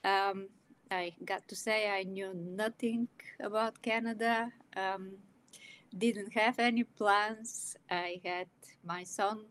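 A woman in her sixties from Bulgaria, speaking English with a Bulgarian accent, telling her life story as a guest with many pauses and fillers. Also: mid-range voice, fairly even intonation, a bit bright, low-quality recording. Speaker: guest, illustrator and author. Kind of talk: personal storytelling in an interview.

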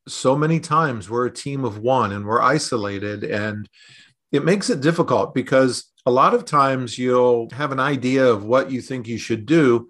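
A middle-aged man talking conversationally in a podcast interview, explaining things thoughtfully and pausing to pick his words. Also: mid-range voice, a bit bright. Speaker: podcast host and resilience consultant.

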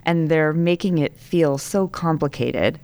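Low-voiced enthusiastic woman host. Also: a little slow, a little mellow, clean tone. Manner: enthusiastic, chatty. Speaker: podcast host. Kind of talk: casual interview chat.

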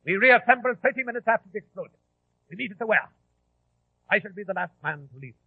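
An actor playing a ruthless, commanding gang leader who barks orders in a rough voice, in 1940s radio drama. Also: male voice, high-pitched, lively intonation, fast talker, no room sound, exaggerated voice. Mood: menacing, commanding. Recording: dry, muffled old radio broadcast.

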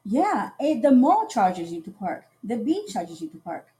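Mellow-voiced chatty woman from Costa Rica. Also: fairly high pitch, big pitch swings, unhurried. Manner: chatty storyteller who acts out quoted dialogue. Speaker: podcast guest. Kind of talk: casual chat on a livestream.

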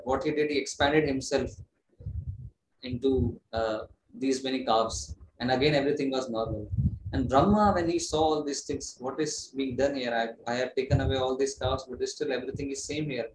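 A man gives an earnest devotional talk, explaining each point patiently and often restarting a phrase. His voice sits at an ordinary middle pitch. It carries a roomy echo over a faint hiss, like a computer mic in an online class. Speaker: preacher.

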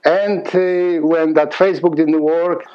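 A man's voice: fairly high pitch, fairly even intonation, slow pace, clear, resonant tone, dull audio. A middle-aged man from Poland with a Polish accent, telling a long story in an interview, often repeating words and acting out dialogue. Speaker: conductor and music teacher.

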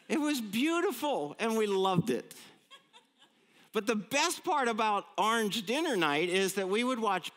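A man of fifty-nine with an American accent, preaching in a conversational, folksy, humorous storytelling way with rhetorical questions. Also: high-pitched. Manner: animated, folksy, self-deprecating, punctuated with rhetorical questions.

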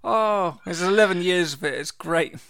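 Wisecracking man, smooth bright voice. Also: sits high, normal speed. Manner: jokey, wisecracking. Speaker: podcast co-host. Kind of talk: casual chat.

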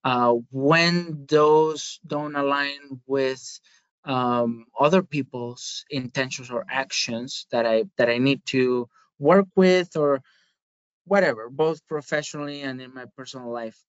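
A man with a Spanish accent speaks in a smooth, clear voice of middling pitch, working through his answers thoughtfully with frequent fillers and restarts. The audio is dry and a little dull. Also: slow, no room sound. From Spain. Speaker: guest, market research manager. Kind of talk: podcast interview.

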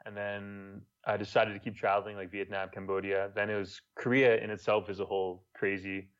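A man's voice: on the low side, flat delivery, a little fast, lo-fi sound. Laid-back Montreal man, French accent. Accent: Quebec French. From Montreal, Canada. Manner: laid-back storytelling, swears casually. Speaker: guest, YouTuber. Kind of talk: casual podcast chat.

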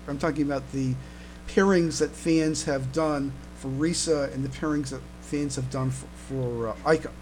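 A man hosting a casual podcast discussion, speaking haltingly and repeating words, over a noisy internet call. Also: a little high, steady tone, darkish tone. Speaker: podcast host.

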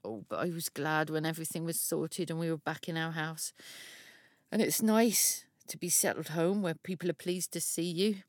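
A woman's voice: sits low, normal speed, dry recording, clean studio sound, natural voice. An actress playing an aggrieved, fiercely protective mother who talks in a gossipy, resentful, confiding way in an audio drama monologue. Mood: wounded, resigned.

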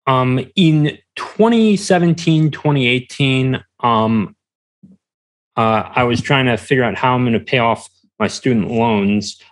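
A young man answering as an interview guest, talking casually in a hesitant, halting way. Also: mid-range voice, rough recording.

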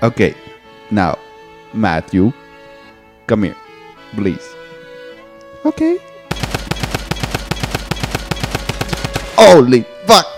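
A blustering gang boss called Colonel, as a man puts him on in a comedy podcast sketch, loud and swearing with a lively, bouncing pitch. Faint music runs behind. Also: slow pace, full character voice. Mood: excited.

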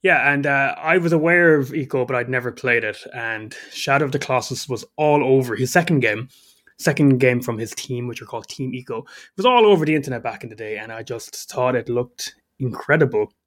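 A man from Ireland co-hosting a casual podcast, a bit sniffly with a cold, self-mocking and quick to laugh. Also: mid-range voice, a bit bright. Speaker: podcast co-host.

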